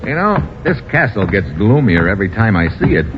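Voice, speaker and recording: A man's voice: on the low side, sing-song, a little fast. An actor playing a wry, breezy tough-guy adventurer with an American accent and slangy old-time radio delivery. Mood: breezy, curious. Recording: muffled, noisy old radio recording.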